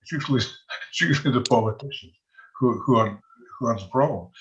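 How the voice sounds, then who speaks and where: Halting older Scottish man, dull audio. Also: medium pitch. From Scotland. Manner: halting, conversational, chuckles often. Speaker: military historian and former soldier. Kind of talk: informal talk on military history.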